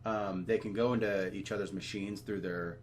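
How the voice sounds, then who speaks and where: A man's voice: on the low side, steady tone, moderate pace, a little hiss or hum. A young American man co-hosting a podcast, chatting casually in a hesitant, loosely worded way. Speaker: podcast co-host. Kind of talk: casual chat.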